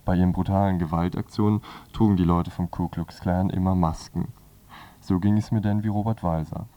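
A man's voice: sits low, fairly even intonation, a little fast, dull audio. A man presenting a scripted magazine review on the radio as narrator.

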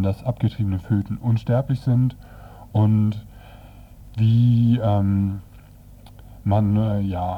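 A man presenting on radio, retelling a comic's story in a dry, hesitant, semi-improvised way with a husky voice. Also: lowish voice. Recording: dull, lo-fi radio recording.

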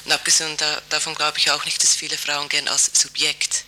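A woman's voice: low voice, completely flat delivery, a little fast, pushed and bright, low-quality recording. A woman explaining political arguments in an interview, emphatic and opinionated, on a hissy tape. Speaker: interviewee from an activist women's group.

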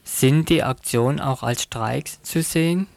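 A man's voice: mid-range voice, unhurried, vocal fry. A man working as a radio reporter, putting prepared, formal questions in a news interview.

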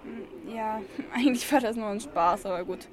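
A woman's voice: on the high side, normal speed, crowd noise, natural voice. A woman playing a cool, impulsive young songwriter in an amateur radio play, blunt and slangy. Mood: flippant. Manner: slangy, brash, swearing.

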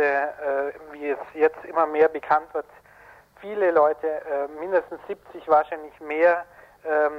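A man giving a news preview as a radio reporter, speaking haltingly over a dull remote link. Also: a little high. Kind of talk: live news preview by link from a branch studio. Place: radio branch office, connected remotely.

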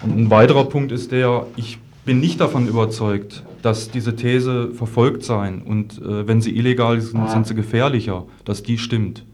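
A man's voice: mid-range voice, steady tone, normal speed, few pauses, darkish tone, a little hiss or hum. A man speaking as a guest in a political debate, arguing at length in formal, somewhat hesitant language. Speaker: political activist as discussion guest. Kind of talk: political debate, argumentative talk.

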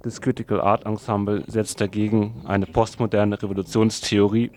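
A man with a fairly low voice introduces a piece as a radio presenter. His delivery is flat and even, semi-scripted. There is faint noise and clicking on the recording. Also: moderate pace.

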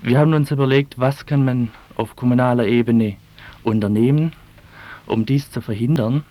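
A man speaking as an environmental activist in an interview, choosing formal phrases deliberately and with pauses. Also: medium pitch, dark tone. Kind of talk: measured interview answers.

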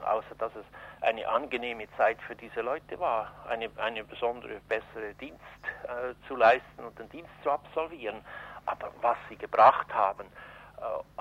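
A man giving spontaneous interview commentary, halting and searching for words, on a dull lo-fi recording. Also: medium pitch, vocal fry. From Switzerland. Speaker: interviewee, journalist.

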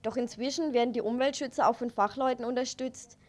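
A woman's voice: a little high, steady tone, a little fast. A woman reporter reading a scripted radio news report in a formal, factual way.

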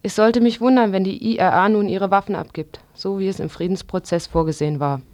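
A woman with a mid-pitched voice reads a scripted news commentary for radio. The sound is dry, with no room around her. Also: fairly even intonation, fairly quick, a little mellow, dry recording.

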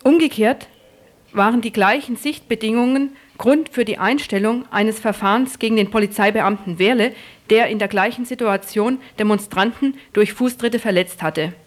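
A woman narrating a scripted news report as a radio reporter. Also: fairly high pitch.

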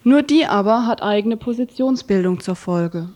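A woman's voice: medium pitch, expressive, normal speed, darkish tone. A woman hosting a political radio programme, reading scripted narration. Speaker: radio host.

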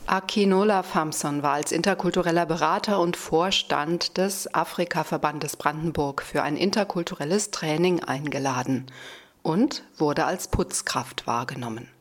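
A woman narrating a radio feature in a measured, composed scripted reading. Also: low voice. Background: music.

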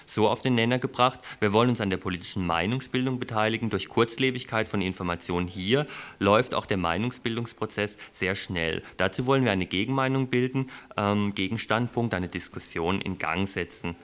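A man talking in a radio studio, explaining editorial plans earnestly in long, halting sentences. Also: on the low side, a bit bright. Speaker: radio editor presenting a programme.

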